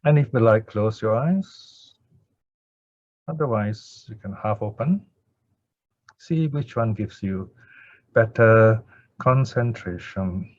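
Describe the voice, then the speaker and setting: A low-pitched man leads a meditation session at a very slow pace. He speaks in measured, short phrases with plenty of pauses, as a teacher guiding practice. The recording is dry and somewhat dull. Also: lively intonation, a little mellow, no room sound. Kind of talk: slow guided meditation instruction and Pali chanting. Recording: dull lo-fi audio.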